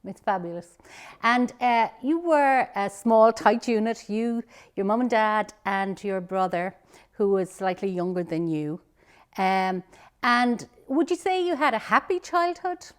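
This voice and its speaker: A woman's voice: medium pitch, lively intonation, a little slow, smooth. A woman from Ireland hosting an online interview, asking friendly, leading questions over a video call. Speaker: interviewer and host.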